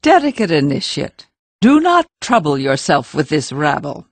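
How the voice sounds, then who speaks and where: A woman's voice: sits low, very animated, normal speed, no room sound, full character voice. A woman playing a tough, commanding village leader, firm and coolly threatening, in a fantasy audiobook. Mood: cool threat. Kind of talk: full-cast fantasy audiobook acting.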